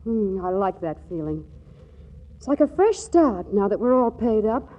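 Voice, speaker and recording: An actress playing a restless, exasperated but loving young wife in a 1940s radio comedy, with fluttery, stammering delivery. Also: female voice, mid-range voice, big pitch swings, moderate pace. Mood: earnest, apologetic. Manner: flustered, fluttery, stammering repeats. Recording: dull 1940s radio broadcast recording.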